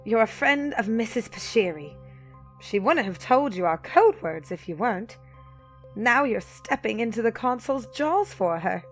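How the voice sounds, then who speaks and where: A woman playing a bold, cocky young elf renegade in an audio drama, brisk and playfully dramatic. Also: fairly high pitch, expressive, soft background music. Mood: bold, teasing.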